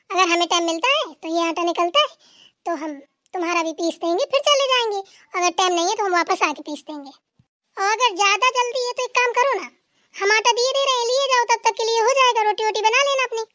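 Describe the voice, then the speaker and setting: A performer voicing a busy, hospitable older village aunt in a Hindi cartoon, brisk and insistent. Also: extremely high pitch, sharp tone, dry recording, clean studio sound. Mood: reassuring. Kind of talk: Hindi family cartoon dialogue.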